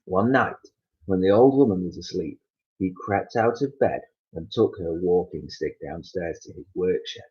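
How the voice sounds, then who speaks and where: Low-voiced man reading a storybook. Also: normal speed, dull audio. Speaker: teacher reading a story aloud to children. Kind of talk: expressive storybook narration.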